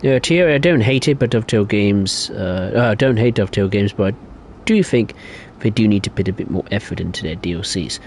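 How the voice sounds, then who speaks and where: A man's voice: fairly low pitch, fairly quick, few pauses, a little mellow, slight background noise. A young man streaming a game, chatting casually and rambling with lots of hesitations while giving his opinions. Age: young adult. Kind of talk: casual live-stream chat.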